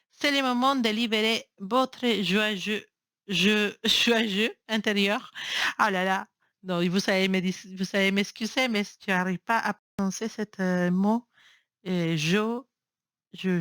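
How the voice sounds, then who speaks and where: A woman's voice: medium pitch, steady tone, takes it slowly, long pauses, a bit bright, no room sound, clean studio sound. A woman who is not a native speaker, reading tarot cards to camera in a casual, affectionate way, often stopping to search for words and restarting phrases. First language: not French. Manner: affectionate, encouraging. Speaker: tarot reader. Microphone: built-in camera mic.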